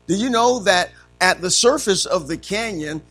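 A man teaching Sunday school in a folksy way, his voice a little raspy from allergies, dropping in amens often. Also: high voice, animated, normal speed, a bit bright, faint music behind. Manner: punctuates with frequent amens, calls to the class.

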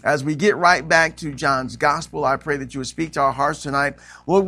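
High bright-voiced preacher in his fifties. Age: 50s. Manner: preaching with frequent "amen" and rising emphatic questions. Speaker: pastor. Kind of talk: sermon.

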